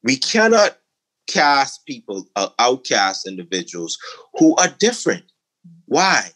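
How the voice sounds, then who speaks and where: A middle-aged man from the Bahamas with a Bahamian accent, a guest in a conversational interview, talking emphatically and climbing in pitch when he makes a point. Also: wildly swooping pitch, measured pace, a bit bright. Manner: emphatic, rising to a preaching lilt when making a point.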